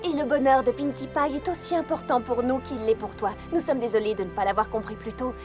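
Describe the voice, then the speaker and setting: Woman voicing a high, earnest cartoon pony. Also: fairly quick, lightly acted. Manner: earnest, articulate, explanatory. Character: earnest, articulate young female pony, leader of friends. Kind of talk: French animated series dub. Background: music.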